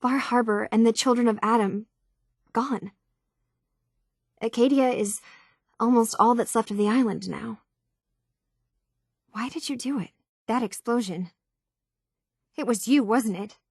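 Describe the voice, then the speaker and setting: A woman's voice: on the high side, a little slow, long pauses, slightly rough, no echo, clean studio sound. An actress playing an earnest, troubled young woman unsure of who she is, speaking in a hesitant, sincere way for video game dialogue. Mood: probing, concerned.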